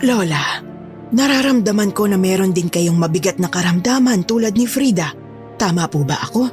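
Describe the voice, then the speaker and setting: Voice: mid-range voice, expressive, normal speed, a little mellow, close to an everyday voice. A performer playing a self-proclaimed faith healer and cult leader, solemn, pious and commanding, in an audio horror drama. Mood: preachy. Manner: pious, preachy, grandiose. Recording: music behind the voice. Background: music.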